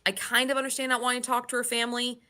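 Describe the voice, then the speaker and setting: Woman w a bright punchy voice, opinionated book chat, swears, exasperated questions. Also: on the high side, completely flat delivery, rapid-fire. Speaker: book club podcast host. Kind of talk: casual opinionated book discussion.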